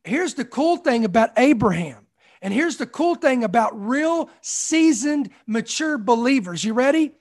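A man in his forties delivers a sermon. He drives points home with repeated phrases, swings his pace between slow stresses and quick runs, and calls out to the congregation. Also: very high-pitched, expressive. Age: 40s. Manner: emphatic preaching with repeated phrases and call-outs. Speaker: pastor.

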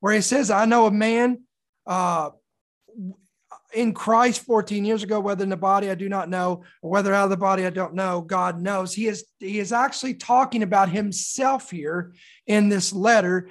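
A preacher with a slightly raspy, mildly hoarse voice, giving an expository sermon and reading scripture with deliberate emphasis on key words. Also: medium pitch, steady tone. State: mildly hoarse from sore throat.

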